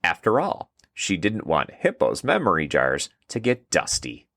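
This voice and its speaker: A man with a lowish voice narrates a children's storybook. He reads at a slow pace with plenty of melodic movement, in a scripted storytelling style. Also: huge pitch swings, creaky.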